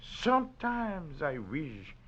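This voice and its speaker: An actor playing a sly, world-weary French detective, a smooth and taunting hunter of men, in a 1940s radio drama. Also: male voice, a little high, wildly swooping pitch, slow pace, full character voice. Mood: bored, wistful. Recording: muffled old radio recording.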